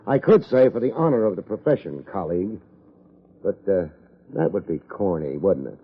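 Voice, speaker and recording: An American actor playing a tough, wry private investigator in 1950s radio detective style, with a husky voice and clipped, sardonic delivery. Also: male voice, low-pitched, expressive, moderate pace, slight background noise. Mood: cool, sardonic. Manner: clipped, hard-boiled, sardonic. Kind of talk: 1950s radio detective acting and first-person narration. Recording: muffled vintage radio recording.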